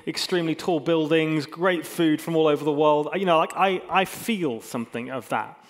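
A man preaching an informal, conversational sermon as a pastor, with self-deprecating humour. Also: on the high side. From England and Hong Kong.

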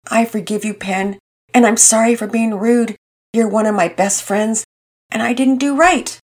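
A woman's voice: fairly high pitch, steady tone, normal speed, darkish tone, husky, voice pushed up. A woman voicing a young boy in a storybook, apologizing earnestly in a raised voice. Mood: contrite. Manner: apologetic, heartfelt.

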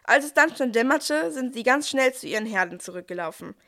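A woman's voice: high voice, lively intonation, a little fast. A woman playing a cheerful, capable archangel who narrates a Nativity audio play in plain, youthful storytelling. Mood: storytelling, assured.

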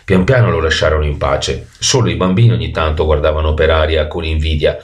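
A man reading a children's story expressively, with animated delivery and the odd stumble over words. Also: rumbling low, fairly quick.

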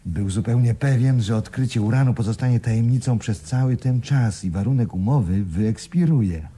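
An actor in a radio play playing a shrewd, sardonic business partner with a dry, chiding manner. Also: male voice, mid-range voice, normal speed, mellow, husky, natural voice. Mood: sardonic satisfaction. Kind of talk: 1980s Polish radio play acting.

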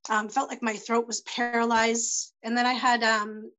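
A woman speaking as a panel guest, giving halting personal testimony with many pauses and restarts as she searches for words, her voice tending to go hoarse. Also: a little high, level tone, fairly bright tone. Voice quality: voice tends to go hoarse.

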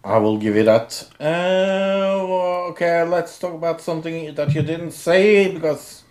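A man's voice: on the high side, measured pace, a little mellow. A man co-hosting a casual TV review show, teasing and hesitant, restarting his sentences often. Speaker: podcast co-host.

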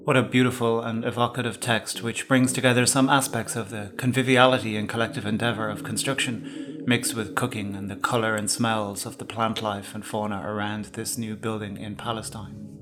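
A man's voice: fairly low pitch, level tone, moderate pace, over music. A man hosting a podcast, presenting from a prepared script. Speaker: podcast host.

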